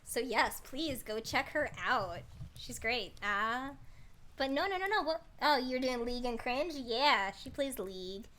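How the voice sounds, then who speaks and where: A woman hosting a casual livestream. Her voice is high and very bright, with lively swings in pitch. She comes across as bubbly, scattered and excitable. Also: measured pace. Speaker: streamer, host of a story-reading livestream.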